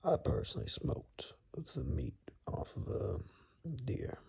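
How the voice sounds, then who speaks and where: A man playing a reclusive, kindly but gruff woodsman in an ASMR roleplay, murmuring haltingly and gently to the listener, sometimes in a whisper, with dry teasing. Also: huge pitch swings, full character voice. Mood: gentle, dry. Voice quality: very deep, gravelly. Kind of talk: ASMR roleplay, soft intimate monologue to the listener.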